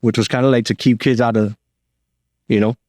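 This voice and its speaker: A Cuban-born man, a guest talking casually on a podcast in a slangy, relaxed way full of fillers. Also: mid-range voice, fairly quick, no echo, clean studio sound. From Cuba. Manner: laid-back slangy talk with many fillers.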